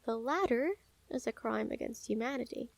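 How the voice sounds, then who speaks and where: A woman playing a calm, menacing yet smitten assassin in an intimate audio roleplay, speaking softly and teasingly with deliberate pauses. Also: on the high side, vocal fry, dry recording. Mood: smitten, confiding. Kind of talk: intimate scripted audio roleplay addressed to the listener.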